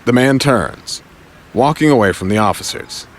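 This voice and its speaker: A man narrating an audio drama in a suspenseful style, with deliberate phrasing and dramatic pauses. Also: mid-range voice, animated. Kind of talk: dramatic scripted story narration.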